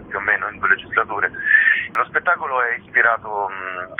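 A man explaining in a radio phone interview, hesitant and pausing to find words, on a dull line with some noise. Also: lowish voice. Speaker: interviewed theatre company member.

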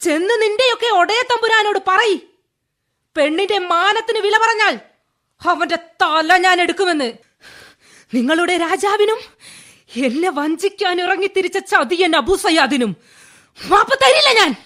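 An actress playing a proud, defiant young warrior heroine in a fierce, declamatory radio drama style. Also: female voice, very high-pitched, expressive, a little slow, long pauses, a bit bright, heavily acted. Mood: fierce defiance. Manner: fierce, theatrical.

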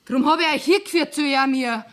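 High-voiced, brash drunken young Bavarian woman. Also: fairly quick, fairly bright tone. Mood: mocking. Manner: brash, mocking, coarse-mouthed. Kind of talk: 1970s German radio play acting.

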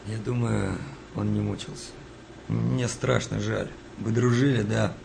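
Older army mate in a ww2 radio play, creaky voice, steady, consoling, noisy behind him. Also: male voice, lowish voice, a little slow. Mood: sober, matter-of-fact. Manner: colloquial, reassuring. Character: gruff, fatherly older comrade soldier, steady and consoling. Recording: noisy wartime ambience behind voice.

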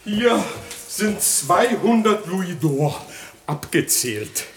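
An actor playing a gullible, status-dazzled rich bourgeois husband in a radio comedy, speaking with ceremonious, obsequious politeness. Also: male voice, sits high, animated, extremely slow, slight background noise, heavily acted. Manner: obsequious, ceremonious with his noble guest. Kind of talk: 1960 German radio play acting of classic comedy.

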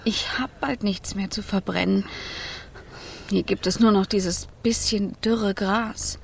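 A woman playing a willful young female fire spirit in a German children's radio play, theatrical and expressive. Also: mid-range voice, slow pace, vocal fry, slight background noise. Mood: weary, sad. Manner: theatrical, expressive, swinging between pleading and coaxing.

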